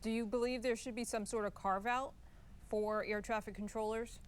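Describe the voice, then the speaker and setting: A woman's voice: fairly high pitch, steady tone, normal speed, clear, resonant tone. An American woman working as a TV news anchor, reading introductions and putting questions in a broadcast interview style.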